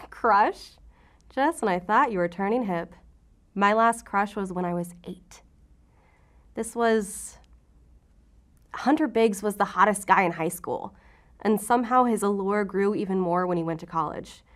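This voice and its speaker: Actress doing a stubborn lady agent in an audio drama, sarcastic and guarded, quick to push back. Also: medium pitch, lightly acted. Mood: brazen, defensive. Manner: sarcastic, defensive. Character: defiant, guarded, wisecracking female law-enforcement agent.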